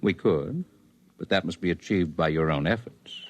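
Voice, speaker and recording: An actor in a 1950s radio drama playing a serene, centuries-old alien healer posing as human, speaking formally and precisely with gentle condescension. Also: male voice, deep voice, normal speed, breathy edge. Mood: lofty, explanatory. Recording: vintage 1950s radio recording.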